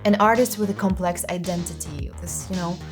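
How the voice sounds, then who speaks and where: A woman's voice: lowish voice, a little fast, music in the background. A woman in her thirties from Kazakhstan with a Kazakh accent, earnestly telling her own life story as a filmmaker. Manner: earnest, reflective, lists in short phrases. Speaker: filmmaker vlogger. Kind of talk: personal storytelling to camera.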